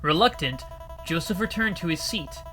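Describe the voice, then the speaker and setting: Bright-voiced man narrating a story. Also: a little high, normal speed. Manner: steady, expressive reading. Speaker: narrator reading a visual novel. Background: faint music.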